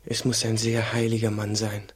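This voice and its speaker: Husky, earnest monk. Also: male voice, lowish voice, completely flat delivery, moderate pace. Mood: earnest, awed. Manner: earnest, deferential. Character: devout, earnest monk, obedient and agitated. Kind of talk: German radio drama acting.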